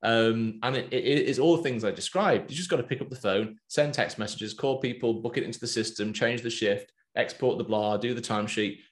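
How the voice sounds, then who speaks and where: A man with an English accent hosting a business chat, talking in a lively, loose way with plenty of fillers. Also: medium pitch, fairly quick, few pauses. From England. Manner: animated, talkative, loose with fillers. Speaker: podcast host and business coach.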